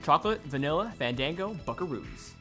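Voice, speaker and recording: A woman's voice: low-pitched, very animated, fairly quick, fairly bright tone, faint music behind. A woman delivering scripted lines in a readers theater recitation.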